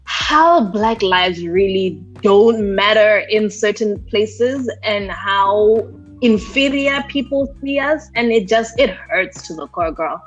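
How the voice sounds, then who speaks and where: A young woman from Botswana, co-hosting a podcast in casual chat, animated and slangy, acting out quotes as she talks. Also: mid-range voice, slow. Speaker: podcast co-host. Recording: slightly dull lo-fi sound with faint music.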